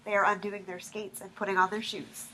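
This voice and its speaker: Casting: female stage-directions narrator, bright forceful tone, medium pitch, faint room noise. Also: level tone, a little fast, rough recording. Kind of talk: scripted narration at a play reading.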